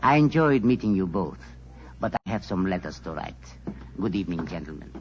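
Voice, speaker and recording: A low, expressive gentleman scholar, precise and courteous to the point of pedantry. He is heard in 1940s radio drama on a muffled recording. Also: brisk. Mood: polite, pedantic. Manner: formal, precise, polite. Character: courteous, learned, somewhat pedantic male traveller. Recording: muffled old radio recording, faint noise.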